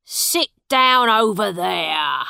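A woman's voice: medium pitch, expressive, very slow, dry recording, heavily acted. A woman voicing a harsh, bullying father and thief who barks orders. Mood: gruff commanding. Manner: loud, gruff commands.

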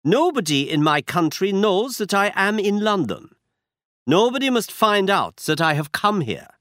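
A man's voice: high-pitched, sing-song, normal speed, fairly bright tone, no room sound, studio quality, voice pushed up. A man putting on a stiff, anxious German-accented nobleman. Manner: formal, stiff, secretive. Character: foreign nobleman, large, masked, anxious.

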